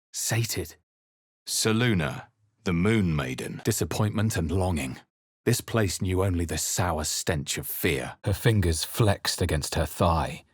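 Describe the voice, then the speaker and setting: गहरी, भारी, भर्राई आवाज़ वाला गंभीर साहसी योद्धा. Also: male voice, low voice, husky, no room sound, studio quality, heavily acted. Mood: solemn, intent, then wry, matter-of-fact. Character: male adventurer hero, deep-voiced, grave and guarded, player character. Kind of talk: dramatic video game voice lines and first-person narration.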